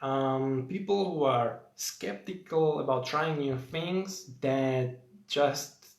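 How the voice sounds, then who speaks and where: A man's voice: mid-range voice, slow pace, gravelly, echoey room. A young man from Slovakia with a Slovak accent, hosting a casual live Q&A in English, chatty and rambling with many fillers as he greets viewers by name. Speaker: host of a live stream.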